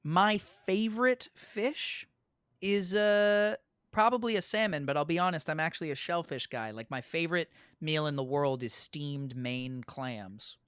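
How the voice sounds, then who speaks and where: A man's voice: fairly high pitch, unhurried, fairly bright tone, smooth, no room sound, clean studio sound. A man from New England chatting casually as a podcast guest, playful and teasing with mock seriousness. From New England, United States. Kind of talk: casual chat.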